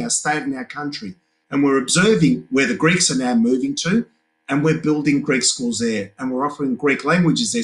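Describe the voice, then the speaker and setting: A man, speaking in a measured, formal way on an online panel over a video call. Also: on the high side, poor audio. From Australia. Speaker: community leader. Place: office with banners.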